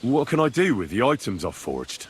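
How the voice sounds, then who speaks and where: An actor playing an earnest young blacksmith's son in a video game, husky, plain and polite, asking questions. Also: male voice, medium pitch, very animated, normal speed. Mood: inquisitive. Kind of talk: video game character acting.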